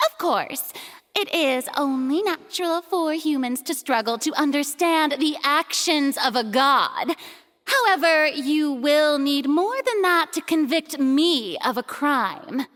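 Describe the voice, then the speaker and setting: Haughty goddess for an anime game: grandiose, theatrical, very animated, unhurried delivery. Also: female voice, high voice, heavily acted. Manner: grandiose, dramatic, declamatory. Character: theatrical, haughty young goddess on trial as defendant.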